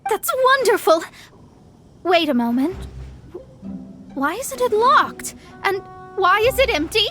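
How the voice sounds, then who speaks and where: A woman's voice: extremely high pitch, sing-song, takes it slowly, sharp tone, faint music behind, full character voice. A woman playing a proud, dramatic young astrologer in a video game, haughty and easily flustered. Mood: surprised, puzzled.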